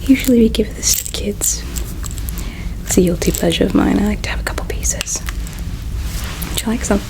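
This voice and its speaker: A woman playing a gentle, caring alien in an ASMR roleplay, speaking in a slow, hushed, tender and wistful way with a breathy quality. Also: medium pitch, lightly acted, rough recording. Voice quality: breathy whisper with creaky edges. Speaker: ASMR performer. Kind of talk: ASMR roleplay, whispered and soft-spoken.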